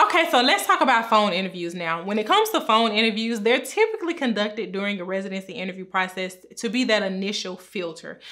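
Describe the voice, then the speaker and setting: A woman in her thirties, a pharmacist, giving upbeat, clear career advice straight to camera from a small room at home. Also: medium pitch, bright, forceful tone. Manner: upbeat, clear, instructive, talks with her hands. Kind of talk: direct-to-camera advice talk. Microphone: camera framed at chest height.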